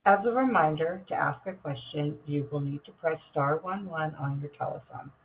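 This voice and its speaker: A woman's voice: low-pitched, normal speed. A woman working as a conference call operator, reading scripted announcements to callers over the telephone. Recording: noisy narrow phone line.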